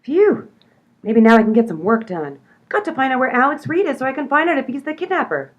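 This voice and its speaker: An exasperated, determined lady sleuth, acted by a woman in a comedy radio play. Her high voice swings widely and theatrically, with a little noise on the recording. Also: big pitch swings, a little fast. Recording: faint background noise.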